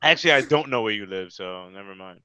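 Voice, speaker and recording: A man's voice: fairly low pitch, expressive, quick pace, bright, forceful tone, clear, resonant tone, dull audio. A man joking boisterously and swearing freely in a casual podcast group chat.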